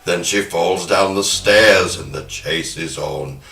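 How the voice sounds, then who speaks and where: A man's voice: deep voice, slow pace, vocal fry, pushed and bright. A man hosting a podcast, talking about film with a dramatic storyteller's delivery. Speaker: podcast host. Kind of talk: scripted narration of a film plot and round-table film talk. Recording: roomy echo, faint music.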